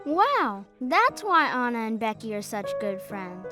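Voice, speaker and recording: A woman's voice: a little high, very animated, measured pace. A woman voicing a loyal little boy in a video game, plain-spoken and stubbornly sincere. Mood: eager. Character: loyal, guileless little boy, the other twin. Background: loud game music.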